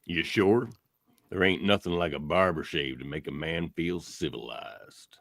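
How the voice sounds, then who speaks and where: A man playing a folksy, unhurried and quietly commanding Old West sheriff with a drawling manner in an amateur radio play. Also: a bass voice, vocal fry, full character voice. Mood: folksy, smooth.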